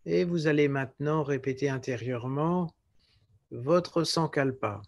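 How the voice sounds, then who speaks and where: A man with a slightly high-pitched voice leads a guided relaxation. His delivery is very slow and calm, with short, measured phrases set apart by pauses, as a yoga nidra teacher would give them. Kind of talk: guided relaxation meditation instruction.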